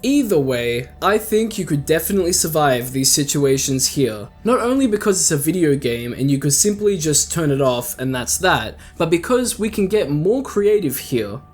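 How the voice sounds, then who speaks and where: A man with a fairly high-pitched voice narrates a casual video essay over a faint music bed. He sounds conversational and wry, often breaking into his own sentences with little asides, in the manner of an online video host. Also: sing-song, normal speed, few pauses. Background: background music.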